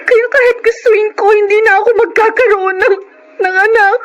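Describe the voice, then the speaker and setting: A woman's voice: extremely high pitch, fairly even intonation, normal speed. A woman playing a compassionate young nanny, earnest and pleading, in a Tagalog radio drama. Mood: tearful pleading. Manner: pleading, respectful, heartfelt. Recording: dull, noisy radio drama audio.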